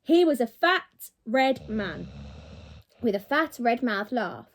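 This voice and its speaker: Animated high-voiced woman reading a story. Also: slow pace, a little hiss or hum. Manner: animated, dramatic delivery. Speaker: primary school teacher. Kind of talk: expressive storybook read-aloud for children.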